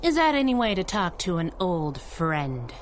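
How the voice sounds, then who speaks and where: A sassy masked heroine, wisecracky, very bouncy delivery, faint noise. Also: female voice, on the low side, very animated, normal speed. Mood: teasing, menacing. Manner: teasing, wisecracking, 1930s slang. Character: sassy, cocky, taunting masked superheroine. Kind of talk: 1930s-style radio drama acting.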